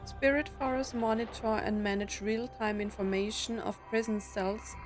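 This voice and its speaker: A woman's voice: on the high side, normal speed. A woman gameplay streamer, reacting casually and reading game text aloud, with faint music behind her.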